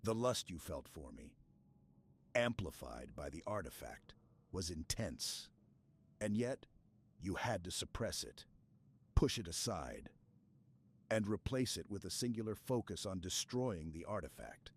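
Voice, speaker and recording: A man playing a stoic, earnest super-soldier in an intimate sci-fi ASMR roleplay, speaking in a halting, hushed way with dramatic pauses. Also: deep voice, very animated, husky, full character voice. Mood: weary, sincere.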